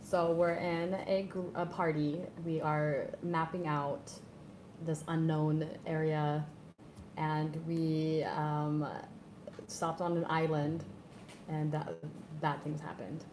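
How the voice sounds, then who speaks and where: A woman's voice: deep voice, little pitch movement, slow pace, darkish tone. A woman player recapping a tabletop game in casual chat, hesitant with many fillers and giggles. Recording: echoey room, faint noise.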